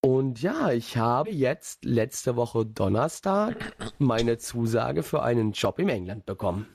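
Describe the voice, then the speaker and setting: A man's voice: mid-range voice, big pitch swings, takes it slowly, creaky, darkish tone, slightly rough, dry recording. A young man rambling through a personal story in casual podcast chat, with many fillers and restarts. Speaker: podcast host.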